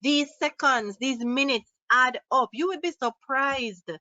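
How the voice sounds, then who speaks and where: A woman with a Jamaican accent presenting a live software tutorial, upbeat and quizzing her audience as she demonstrates. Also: sits high, measured pace, no room sound, lo-fi sound. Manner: upbeat, asks the audience questions. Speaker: online workshop presenter. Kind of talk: live screen-share tutorial. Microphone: built-in laptop mic.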